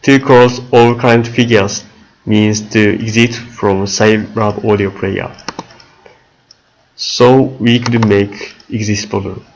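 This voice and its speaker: A male student gives a prepared software presentation. His voice sits a little low and he moves very slowly, in deliberate, halting English as a non-native speaker. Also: fairly even intonation, slight background noise, rough recording.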